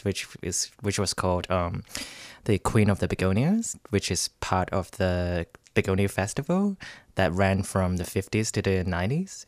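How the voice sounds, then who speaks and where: A man's voice: deep voice, no room sound. A man from Hong Kong, with a Hong Kong accent, explaining things casually as a guest in a studio interview. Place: radio studio.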